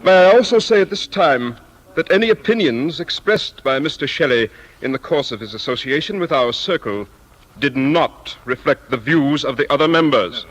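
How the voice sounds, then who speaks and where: An actor in a 1950s satirical radio play playing a polite, pompous poetry-circle host, formal, obsequious and easily flustered. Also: male voice, on the high side, expressive, normal speed, low-quality recording. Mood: formal, embarrassed.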